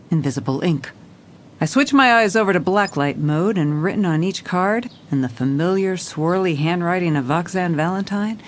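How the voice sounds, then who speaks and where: A woman's voice: low-pitched, a little hiss or hum. An actress playing a determined, world-weary female police commissioner, narrating a noir audio drama in a hard-boiled, dramatic manner.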